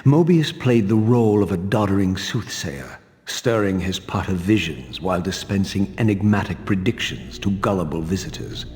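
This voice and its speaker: An actor playing a proud, vengeful vampire wraith in a video game, speaking in a formal, theatrical, measured way. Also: male voice, deep voice, a little mellow, close to an everyday voice. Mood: reflective, disdainful. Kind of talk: dramatic game voice acting. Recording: reverberant hall, music underneath.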